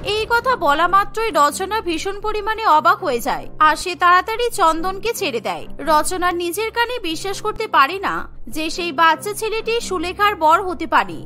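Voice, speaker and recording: A woman's voice: very high voice, expressive, moderate pace, sharp tone, soft background music. A woman narrating a scripted cartoon story.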